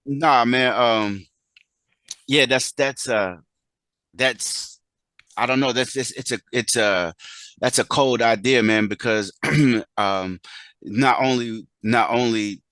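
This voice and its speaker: A man hosting a casual interview, feeling his way haltingly through questions and rephrasing as he goes. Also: medium pitch, a bit bright, dry recording. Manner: halting, searches for words, rephrases repeatedly. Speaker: interview host.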